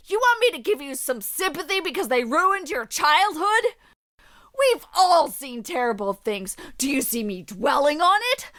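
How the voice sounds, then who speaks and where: A woman's voice: high-pitched, bright, forceful tone, heavily acted. An actress playing an angry, bitter battle sister who accuses and scorns her comrades in a fan audio drama.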